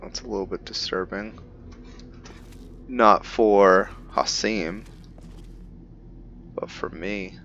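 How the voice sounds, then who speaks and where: Slow-talking low-voiced man playing a game. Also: muffled recording, slight background noise. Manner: relaxed, musing aloud with fillers. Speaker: gaming video host. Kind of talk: casual game commentary.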